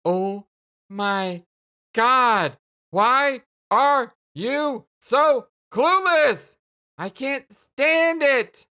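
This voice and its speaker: A woman's voice: mid-range voice, sing-song. A woman voicing an exasperated, hot-tempered young woman in a dramatic dialogue, stretching her words out emphatically. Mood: furious, fed up. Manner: emphatic, drawn-out, shouting outbursts.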